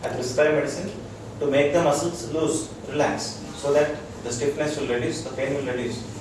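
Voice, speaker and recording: A man's voice: on the high side, steady tone, normal speed. Indian doctor guy teaching a small group, repeats himself, noisy far-off recording. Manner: explains in short repeated phrases, checks that listeners follow. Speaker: doctor teaching integrative medicine. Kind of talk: informal lecture to a small group. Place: room with a small audience. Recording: echoey room, distant mic, noisy.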